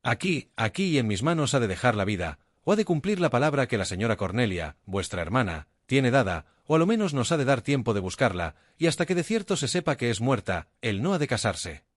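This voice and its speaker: A man's voice: mid-range voice, sing-song, a little fast, few pauses, no room sound, clean studio sound. A man reading as a fiery loyal knight. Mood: vehement. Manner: vehement, sworn-oath speech. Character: Spanish knight, hot-tempered and loyal.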